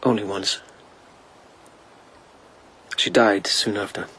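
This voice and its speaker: Reserved young lieutenant, terse and understated. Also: male voice, lowish voice, fairly even intonation, takes it slowly, a bit bright, a little hiss or hum, low-quality recording. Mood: wistful. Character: reserved, wistful young lieutenant, a widower bent on vengeance. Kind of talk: understated radio drama acting.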